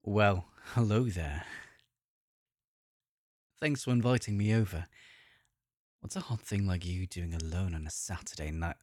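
A man acts out a suave German tourist in an erotic audio roleplay. He speaks slowly and lowishly with a German accent, flirting in a deliberate, seductive way, recorded dry and close. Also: darkish tone, no room sound. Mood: smooth, flirtatious.

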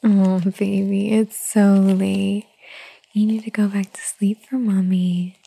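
A woman speaking in a soft, soothing motherly whisper for an ASMR roleplay. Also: mid-range voice, level tone, slow, vocal fry, slightly rough, rough recording.

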